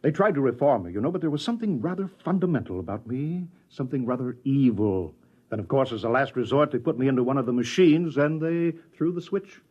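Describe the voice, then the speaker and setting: A man's voice: mid-range voice, animated, fast talker, darkish tone, natural voice. An actor playing a genial, sly alien posing as a courtly, talkative old man in a 1950s radio drama. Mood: amused, confiding. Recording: dull old-time radio recording.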